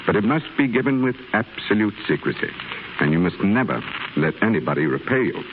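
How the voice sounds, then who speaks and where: A man playing an older male stonecutter who talks to a younger man in a gentle, fatherly, measured way. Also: on the low side, sing-song. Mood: earnest. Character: older male stonecutter, kindly earnest mentor. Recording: tinny noisy 1930s radio broadcast.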